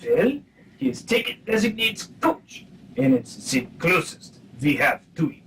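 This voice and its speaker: A man's voice: high voice, very animated, a little slow, fairly bright tone, recorded from a distance, slight background noise, full character voice. An actor playing a fussy, easily confused henchman villain in a comic spy radio play. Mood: exasperated, shifty.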